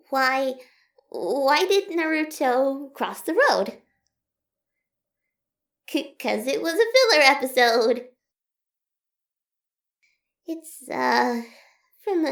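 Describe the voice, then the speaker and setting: A woman's voice: sits high, big pitch swings, extremely slow, creaky, long pauses, fairly bright tone, echoey room, exaggerated voice. A woman playing a shy, nervous, nerdy monster scientist in an audio drama, stammering and rambling. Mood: timid, eager. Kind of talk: amateur audio drama acting.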